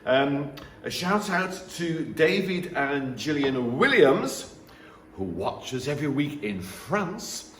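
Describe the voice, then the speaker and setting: A man with a slightly croaky voice, a primary school teacher leading an assembly in a chatty, gently jokey way. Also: fairly high pitch, sing-song, slow pace, reverberant, slight background noise. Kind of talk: friendly assembly address to pupils and families.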